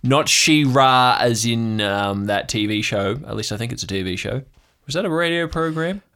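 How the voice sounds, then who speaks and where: A man's voice: mid-range voice, lively intonation, normal speed, fairly bright tone, smooth. A man playing a chatty, eccentric, conspiracy-minded uncle who tinkers with boats, rambling and blustery in an improvised audio drama. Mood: rambling, proud. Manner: rambling, blustery, goes off on tangents.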